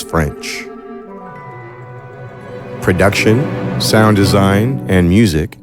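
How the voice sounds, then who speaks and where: A man reading scripted podcast credits as the announcer, in a measured, formal way. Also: sits low. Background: music.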